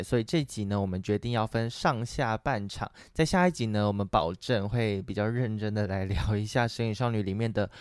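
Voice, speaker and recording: A man's voice: lowish voice, a little mellow, clear, resonant tone, dry recording, clean studio sound. A man with a Taiwanese Mandarin accent hosting a podcast with playful, teasing casual banter. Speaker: podcast host.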